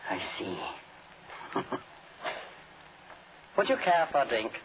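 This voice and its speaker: An actor playing a suave, sinister doctor in a 1940s radio drama, speaking with formal, menacing courtesy. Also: male voice, medium pitch, huge pitch swings, takes it slowly. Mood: coolly wary. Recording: tinny, noisy old 1940s radio recording.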